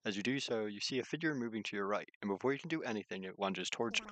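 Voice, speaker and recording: A man's voice: fairly low pitch, expressive, rapid-fire, a bit bright, dry recording. A man reading a visual novel aloud as a streamer, mixing narration with casual commentary.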